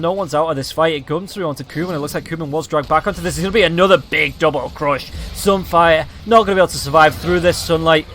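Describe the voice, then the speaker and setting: A slightly hoarse man calling a match in quick, excited play-by-play commentary. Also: fairly high pitch, slight background noise. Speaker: esports caster.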